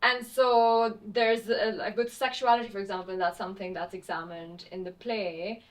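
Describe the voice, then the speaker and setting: A young woman from Pakistan, a playwright, answering interview questions in a thoughtful, halting way with many fillers. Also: mid-range voice, fairly even intonation, low-quality recording. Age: young adult. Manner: hesitant, many fillers and restarts.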